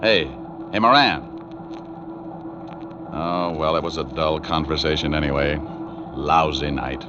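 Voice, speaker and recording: An American actor playing a tough, wry private detective in a 1940s radio drama. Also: male voice, rumbling low, slow, very noisy. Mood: wry, cool. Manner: wry, flippant. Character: wisecracking tough male New York private detective. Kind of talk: 1940s radio acting, hardboiled narration. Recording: dull old radio recording.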